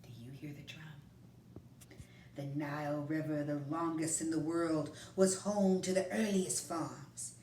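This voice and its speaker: A woman reading a children's picture book aloud, often in a hushed near-whisper. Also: sits low, fairly even intonation, a little slow. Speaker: storyteller. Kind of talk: children's story read-aloud. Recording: roomy, distant, faint background noise.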